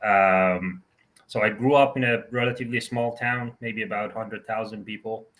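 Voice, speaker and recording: Iranian guy in his 30s, lowish sharp voice, chatting as an interview guest. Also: fairly even intonation, normal speed. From southern Iran. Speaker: interview guest, tech founder. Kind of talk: conversational personal account.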